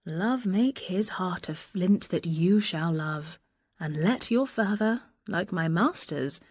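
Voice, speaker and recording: An actress playing a bold, passionate young woman disguised as a gentleman messenger, speaking verse earnestly and lyrically in a Shakespearean radio drama. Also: fairly low pitch, animated, breathy edge, dry recording, studio quality, full character voice. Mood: proud, offended. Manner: earnest, lyrical, deliberate delivery.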